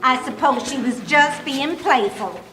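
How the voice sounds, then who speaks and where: A woman with an American accent playing a patient, inquisitive, gently teasing middle-aged wife in an old-time radio comedy. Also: high voice, unhurried, a crowd in the background. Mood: politely curious. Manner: polite, sweetly firm, wry. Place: clubhouse hall, live performance. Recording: echoey clubhouse, faint noise.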